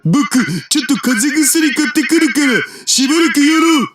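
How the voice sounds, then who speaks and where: A man playing an excitable, goofy young man in a Japanese visual novel. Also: very high-pitched, fast, a crowd in the background. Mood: earnest.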